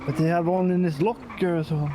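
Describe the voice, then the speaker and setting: Hesitant Swedish-accented man, slightly high. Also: fairly even intonation, normal speed, background noise. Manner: hesitant, many "uh"s. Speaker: roleplay character. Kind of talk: casual in-character chat.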